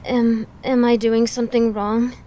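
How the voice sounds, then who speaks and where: A woman acts a young goddess in an audio drama, timid and eager to please. She speaks slowly and hesitantly in a fairly high voice, with a faint rumbling noise behind her. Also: level tone, dark tone, close to an everyday voice. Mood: worried, unsure. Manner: hesitant, questioning.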